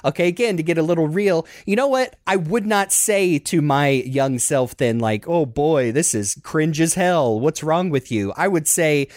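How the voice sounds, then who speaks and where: A man in his thirties reminiscing casually as a podcast host in an animated, rambling, self-deprecating way. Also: on the high side, normal speed, few pauses, no echo, clean studio sound.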